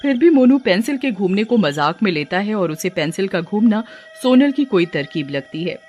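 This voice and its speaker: A woman's voice: medium pitch, very animated, moderate pace, darkish tone, muffled recording. Female storyteller with faint music behind. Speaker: story narrator. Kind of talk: scripted narration of a bedtime story.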